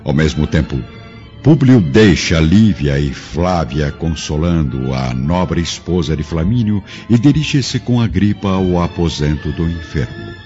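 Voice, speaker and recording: A man's voice: very deep voice, lively intonation, a little slow, mellow. A man narrating a radio drama in a solemn, literary, dramatic style. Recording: dull lo-fi radio drama, music behind.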